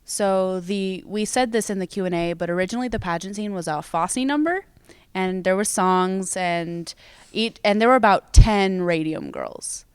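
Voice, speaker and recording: A woman's voice: mid-range voice, normal speed, clear, resonant tone, no echo. A young American woman giving casual interview answers as a student theater performer. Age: young adult.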